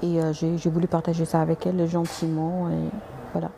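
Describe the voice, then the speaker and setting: A woman's voice: low-pitched, completely flat delivery, fairly quick, vocal fry, dark tone, slight background noise. A woman doctor answering viewers' comments on a live stream, polite but direct, with frequent hesitations and restarts, slipping in English and Arabic words.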